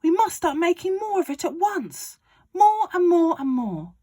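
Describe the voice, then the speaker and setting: A woman reading aloud and voicing an excitable, bossy father who shouts his big plans. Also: unhurried, exaggerated voice. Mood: overexcited. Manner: shouting, overexcited, booming. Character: excitable bossy father, middle-aged man.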